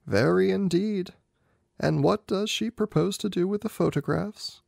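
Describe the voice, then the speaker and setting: A man voicing a keen, unflappable gentleman detective in a storybook reading, with brisk, inquisitive, clipped questions. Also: high voice, gravelly, dry recording, clean studio sound, voice pushed up, exaggerated voice. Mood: probing. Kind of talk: amateur audiobook character reading.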